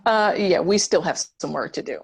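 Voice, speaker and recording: A woman acting a supportive, gently teasing friend in a screenplay table read. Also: lowish voice, expressive, moderate pace, creaky, husky, exaggerated voice. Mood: amused. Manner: teasing, encouraging.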